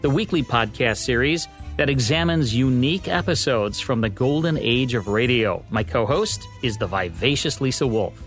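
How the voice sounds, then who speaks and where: A man's voice: medium pitch, animated, moderate pace, a bit bright. An American man reads scripted narration as a podcast co-host, in a mid-range voice. Music plays behind him as he presents history in the manner of a radio host. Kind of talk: scripted narration about old-time radio.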